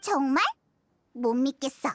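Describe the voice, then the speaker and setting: A woman voicing a small, excitable, childlike fairy companion in a video game, squeaky and indignant. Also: unhurried. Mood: eager, doubtful. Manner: indignant, exclamatory. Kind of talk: game character dialogue. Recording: music behind the voice.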